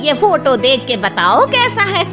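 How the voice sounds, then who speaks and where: A woman's voice: sits high, wildly swooping pitch, a little slow, music in the background, pitched above the performer's own voice, full character voice. A woman voicing an eager, persuasive matchmaking aunt in a story, gushing and insistent. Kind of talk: dramatized story dialogue.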